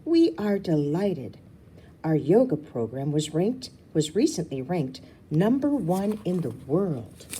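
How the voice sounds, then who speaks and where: Elegant female spa manager, acted by a woman reader, formal and over-friendly, animated, mellow storybook delivery. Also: fairly low pitch, slow, a little hiss or hum. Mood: polished, gracious.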